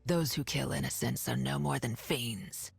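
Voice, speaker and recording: An actress playing a stern, vengeful female monster hunter in a card game, solemn and deliberate with a husky, gravelly voice. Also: rumbling low, no room sound, clean studio sound, soft background music, exaggerated voice. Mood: grim, solemn. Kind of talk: card game character voice lines.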